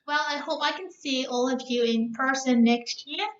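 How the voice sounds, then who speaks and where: A woman's voice: high voice, normal speed. A woman speaking as a competition judge, giving encouraging, instructive feedback to students. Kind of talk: spoken feedback addressed to students. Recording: muffled, echoey room recording.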